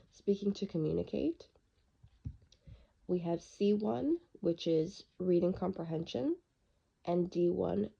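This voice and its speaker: Raspy-voiced young woman with fading voice. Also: lowish voice, warm tone. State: losing her voice. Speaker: student teacher presenting a unit plan. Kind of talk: reading through a lesson plan. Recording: dull lo-fi recording.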